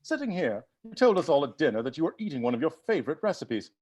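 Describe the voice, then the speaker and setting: A man playing a brisk, theatrical butler who unravels murders with animated, accusing declamation in a read-through of a film script. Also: a little high, lo-fi sound.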